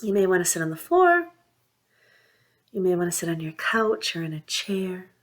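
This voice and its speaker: A woman leading a guided mindfulness meditation, speaking in a soothing, unhurried way with long pauses between phrases. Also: on the low side, very animated. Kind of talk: guided meditation, gentle instruction.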